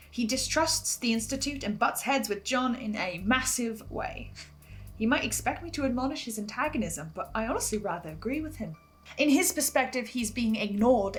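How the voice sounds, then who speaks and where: A woman hosting a video log, giving semi-scripted commentary in a thoughtful, slightly halting way. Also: medium pitch. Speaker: host of a podcast reaction video log.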